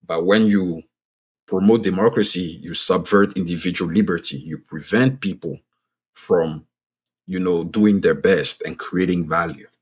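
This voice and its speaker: A man's voice: deep voice, measured pace, slightly rough. A man from Ivory Coast with a French-speaking Ivorian accent, French his first language, speaking as a podcast guest in an earnest way that restarts phrases for emphasis. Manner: earnest, repeats and restarts phrases to stress a point. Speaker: guest economist and author. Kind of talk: podcast interview.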